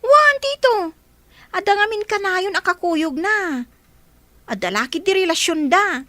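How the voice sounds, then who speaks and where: A woman's voice: extremely high pitch, animated, a little slow, sharp tone, dry recording, heavily acted. A woman playing a whiny, jealous young daughter in a radio drama, plaintive and insistent. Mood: tattling. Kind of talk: Filipino radio drama acting.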